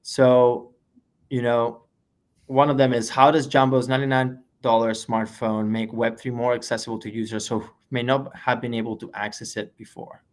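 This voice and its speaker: A man with a mid-pitched voice hosts an interview over a remote call. He reads out questions and speaks in an upbeat, complimentary way toward his guest, with plenty of "you know" fillers. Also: little pitch movement, normal speed. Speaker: podcast host. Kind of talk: interview hosting.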